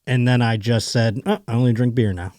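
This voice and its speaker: A man from Buffalo, New York, hosting a podcast in easy chat, quick to joke and laughing often. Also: mid-range voice, fairly even intonation, darkish tone, dry recording, clean studio sound. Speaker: podcast host.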